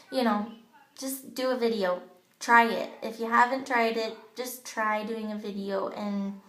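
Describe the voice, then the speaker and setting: A woman's voice: fairly high pitch, a bit bright. A woman with a childish-sounding voice gives a chatty, casual training talk to her sales team, full of ums, recorded on an old smartphone in her bathroom. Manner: chatty, with many ums and you-knows. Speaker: direct-sales makeup team leader. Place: home bathroom. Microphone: old smartphone camera. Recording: echoey bathroom on a phone.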